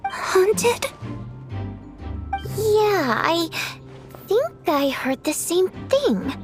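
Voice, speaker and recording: A woman's voice: extremely high pitch, sing-song, extremely slow, heavily acted. A woman playing an outspoken, earnest young girl who works at a theatre, in a video game. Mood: uneasy. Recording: background music.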